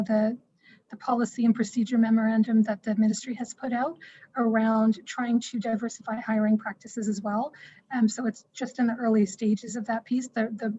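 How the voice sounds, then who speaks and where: A woman's voice: a little high, dark tone, husky. Woman explaining policy, dull call audio. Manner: measured, explanatory, with frequent "um" fillers. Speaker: school board staff presenting a human rights policy. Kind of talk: explaining policy in an online meeting.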